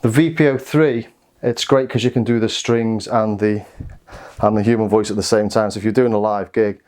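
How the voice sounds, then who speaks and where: A man's voice: lowish voice, steady tone, normal speed, a little mellow. A man giving a casual, hesitant gear demonstration as a reviewer, thinking aloud as he goes. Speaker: synthesizer reviewer.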